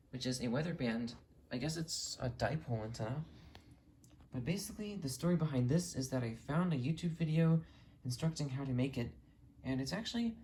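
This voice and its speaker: A mellow, relaxed voice at a middle pitch gives a casual show-and-tell as a hobby video host. The explanations are detailed and matter-of-fact, with faint ambient noise in the background.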